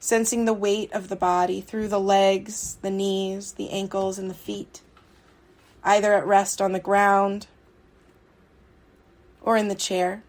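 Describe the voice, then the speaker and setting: Woman guiding meditation in an even tone. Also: medium pitch. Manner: soothing, unhurried, with long pauses between short phrases. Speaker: meditation guide, yoga teacher. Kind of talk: guided yoga nidra meditation.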